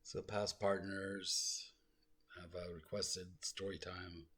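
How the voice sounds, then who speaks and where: A man rambling through his own life story in a soft, low voice he calls soothing, full of fillers and asides. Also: flat delivery, takes it slowly, husky. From South Lake Tahoe, California. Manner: rambling, self-deprecating, full of fillers and digressions.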